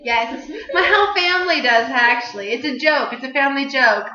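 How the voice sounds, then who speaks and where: High-voiced young woman, giggly webcam vlog. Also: fairly quick, sharp tone. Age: young adult. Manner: chatty and giggly, breaks into singing. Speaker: vlog host. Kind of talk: casual chat. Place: small apartment room at night, indoors. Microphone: laptop webcam at desk distance. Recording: roomy, dull lo-fi webcam sound.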